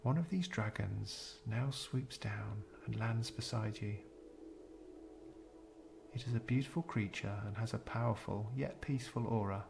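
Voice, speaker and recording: Man narrating meditation with a creaky voice. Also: on the low side, noisy background. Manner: measured, soothing, gentle delivery with pauses. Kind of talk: scripted guided meditation narration.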